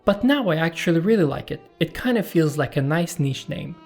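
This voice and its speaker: Husky Turkish man reading a Q&A. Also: on the high side, animated, a little fast, darkish tone, faint music behind. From Turkey. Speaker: YouTube channel host. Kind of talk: scripted Q&A voice-over.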